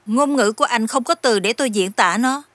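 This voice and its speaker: A woman's voice: fairly high pitch, brisk, fairly bright tone, no room sound. A woman playing a naive, earnest young alien wish-granter in dubbed fantasy film dialogue, blunt and innocently literal. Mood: eager, curious.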